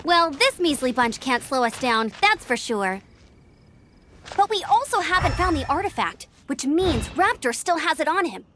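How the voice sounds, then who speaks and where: A woman voicing an upbeat, confident young female mentor in a video game, with a bright, youthful, eager delivery. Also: high voice, big pitch swings, normal speed, background noise. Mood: determined. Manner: peppy, eager, emphatic. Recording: music behind the voice.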